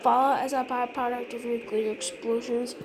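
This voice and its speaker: A woman with a fairly high voice reads a scripted emergency announcement. Her delivery is halting, with words repeated here and there. Clear background noise runs through the recording. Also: flat delivery. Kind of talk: scripted mock emergency alert narration.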